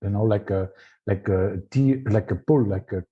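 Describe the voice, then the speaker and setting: A man's voice: on the low side, normal speed, husky, narrow, tinny audio. A man with an Austrian accent, speaking thoughtfully and searching for words in English. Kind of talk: casual spiritual conversation.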